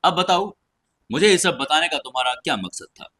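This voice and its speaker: A man's voice: on the high side, animated, brisk, bright, forceful tone, voice pushed up. A man voicing a cold, commanding teenage noble girl in an audio story, with an indifferent, dismissive manner. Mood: indifferent, probing. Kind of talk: audio story character dialogue.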